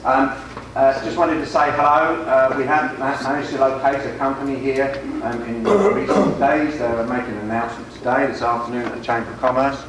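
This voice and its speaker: A man's voice: medium pitch, brisk, few pauses. A man with a British accent giving a short, informal address to a group as a guest, wry and a little hesitant. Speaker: guest speaker, business consultant. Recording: echoey room, distant mic, noisy ambience.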